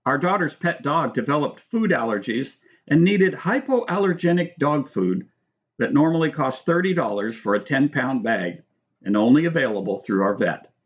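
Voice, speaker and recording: Middle-aged American male author-narrator, instructive and measured, mid-range voice, slightly lo-fi sound. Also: mid-range voice, lively intonation. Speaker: author reading own book. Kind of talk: audiobook-style reading of nonfiction with spoken asides.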